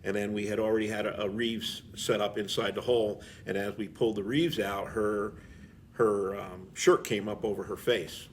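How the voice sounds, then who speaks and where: A man's voice: lowish voice, fairly even intonation, normal speed, slight background noise. A middle-aged man telling stories casually as an interview guest, plain-spoken and rambling, with frequent filler phrases. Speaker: interview guest, veteran firefighter.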